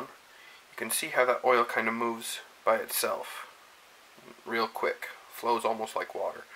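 Relaxed young man demoing home cooking. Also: medium pitch, low-quality recording. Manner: relaxed, folksy, talks to camera step by step. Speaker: home cooking show host. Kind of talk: casual cooking demonstration. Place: home kitchen at the stove. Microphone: camera mic, speaker off frame, moving around. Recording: kitchen noise, sizzling pan. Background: frying and sizzling pan.

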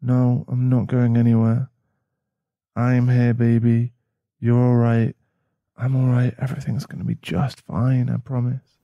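A man's voice: mid-range voice, monotone, a little slow, vocal fry, dry recording, dull audio. A man playing a protective, tender werewolf boyfriend in an ASMR roleplay, speaking in a soothing, reassuring manner. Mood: soothing, worried.

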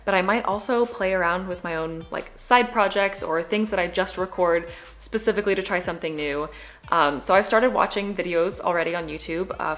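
A young woman talks to her camera from a living room sofa, chatty and upbeat. She speaks quickly, with a slightly low voice for a woman, and sounds relaxed and conversational throughout. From Midwest USA. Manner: chatty, upbeat, gestures while talking. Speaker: vlogger. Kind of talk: casual talk to camera.

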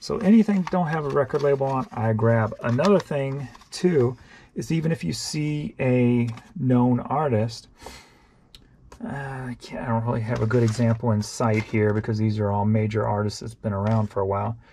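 A man with a gravelly, low-toned voice rambles offhandedly, thinking aloud as he goes. His tone is dark and mellow, and faint clicks and noise sit behind him. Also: moderate pace. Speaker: online reseller. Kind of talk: casual show-and-tell vlog.

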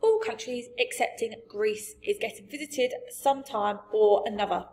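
A woman voicing a big, friendly male giant from a storybook, gentle and boastful, with muddled grammar and emphatic delivery. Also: a little high, moderate pace, lightly acted. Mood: eager, explaining. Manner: garbled grammar, invented words, emphatic. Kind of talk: storybook character voice.

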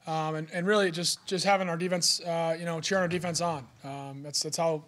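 Young man, fast, flat delivery, postgame podium, filler-heavy. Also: a little high. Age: young adult. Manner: steady, fast, filler-heavy with "you know". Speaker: college quarterback. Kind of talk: postgame press conference answers. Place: press room in front of a sponsor backdrop. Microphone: podium mic.

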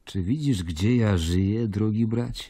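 An actor playing a stern, sceptical Roman governor in an audio drama, measured and ironic as he questions and narrates. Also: fairly low pitch, dark tone, breathy edge, no echo. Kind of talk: literary audio drama acting.

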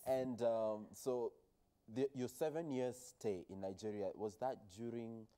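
A man in his thirties with a Kenyan accent, interviewing a guest on television in measured, conversational phrases. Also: medium pitch, mellow, clean tone. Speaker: TV interviewer, host. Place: TV studio, seated on a sofa. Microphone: headset or clip-on mic.

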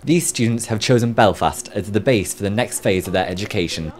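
A man's voice: fairly low pitch, fairly quick. A young man reporting a television news story, reading a scripted piece to camera outdoors on a university campus. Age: young adult. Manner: crisp formal delivery.

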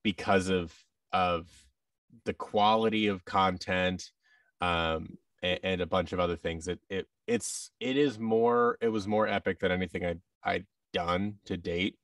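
Low-voiced American man, slightly off-mic. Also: steady tone, unhurried, a bit bright, no echo, clean studio sound, distant mic. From United States. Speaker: guest, voice actor and audio drama producer. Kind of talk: casual interview conversation. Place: large office room, indoors. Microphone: headset with boom-arm microphone on a video call.

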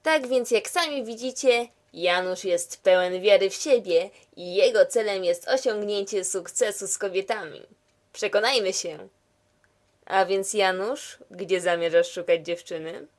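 A woman's voice: medium pitch, unhurried, lightly acted. A woman playing a wry, skeptical narrator in a scripted comedy, questioning the hero in a dry, teasing way.

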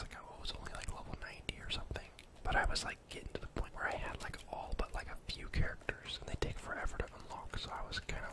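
Whispering young man, gaming ASMR. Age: young adult. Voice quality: breathy unvoiced whisper. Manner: casual, rambling, informal. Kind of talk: whispered ASMR game commentary. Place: indoor room at home.

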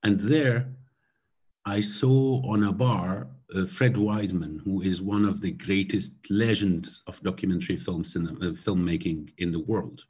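Keynote speaker, man, 40s, from Syria: unhurried, halting delivery, somewhat low and husky. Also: fairly even intonation, a little mellow. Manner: slow, thoughtful, many hesitations. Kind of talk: lecture over video call. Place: room at home by a glass door. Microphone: laptop webcam on video call.

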